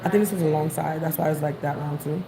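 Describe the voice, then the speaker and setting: A woman's voice: sits low, fast, over a noisy crowd. A woman from Queens with a New York accent, chatting casually to viewers on a livestream while out on the street. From New York City, Queens. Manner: rambling, chatty, greets viewers. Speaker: vlogger. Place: outdoors on the street. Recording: noisy background.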